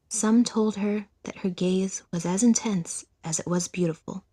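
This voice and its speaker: A woman narrates scripted fiction for an audiodrama. Her voice is mid-pitched, warm and breathy. She tells the story in a measured, deliberate way.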